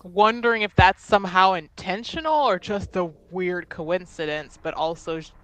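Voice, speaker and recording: A woman playing a tabletop roleplaying game, narrating her character in an expressive, informal way. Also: lowish voice, moderate pace, no room sound, poor audio.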